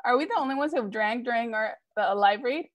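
A woman's voice: fairly high pitch, a little fast. A woman chatting casually as a cast member on a noisy group video call with clicks. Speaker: cast member on a live table read. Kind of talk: casual chat.

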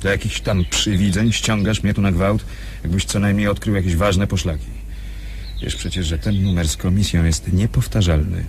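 Brisk, impatient officer for radio drama, low-pitched, curt, over audible background noise. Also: male voice, steady tone, darkish tone. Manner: curt, businesslike. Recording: noisy recording with background ambience.

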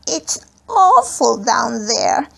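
Woman voicing a squeaky, high little boy. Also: huge pitch swings, extremely slow, creaky, sharp tone, exaggerated voice. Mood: complaining. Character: small frightened boy in a children's adventure.

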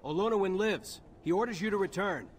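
A man's voice: high-pitched, animated, brisk. A man playing a brash human soldier in a video game, talking in a casual, cocky, blunt way. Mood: earnest. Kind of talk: video game character acting. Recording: clear noise behind the voice.

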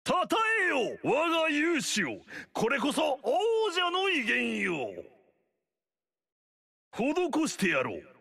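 An actor voicing a pompous, arrogant demon-clan noble warlord in a video game, haughty and commanding. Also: male voice, very high voice, huge pitch swings, slow, pauses often, bright, forceful tone, heavily acted. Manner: haughty, commanding, self-praising. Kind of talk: video game battle lines. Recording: dry studio recording.

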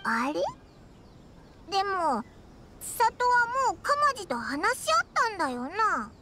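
A woman voicing a small, cheeky fairy companion in an anime game, with a childish, blunt, expressive delivery. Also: very high voice, takes it slowly, noisy background, full character voice. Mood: puzzled.

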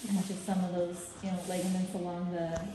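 A woman vet giving advice in a relaxed, casual way, with many fillers. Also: on the low side, fairly quick. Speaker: equine vet. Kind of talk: casual consultation. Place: vet clinic barn. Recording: echoey room with clear noise.

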